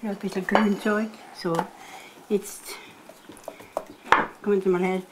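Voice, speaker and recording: A woman's voice: mid-range voice, little pitch movement, measured pace, heavy background noise. A woman in her eighties with a Palatinate accent, patiently showing how to cook in her home kitchen, often chuckling. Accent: Palatinate German. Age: 80s. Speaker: farm cook sharing recipes. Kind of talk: casual cooking chat. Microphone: lapel. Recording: clattering dishes and pans behind.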